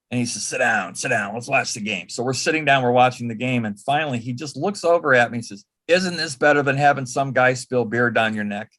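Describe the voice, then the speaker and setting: A man's voice: medium pitch, fairly bright tone. Man casually reminiscing in an interview. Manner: conversational, tells anecdotes with quoted dialogue. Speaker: interview guest, former personal assistant to an entertainer.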